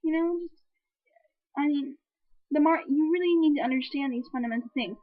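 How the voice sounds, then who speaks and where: A young woman in her twenties with a high voice, reviewing a movie in an exasperated, rambling rant full of swearing. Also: normal speed, phone-quality sound. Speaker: film reviewer. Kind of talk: ranting casual movie review. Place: room at home with a piano.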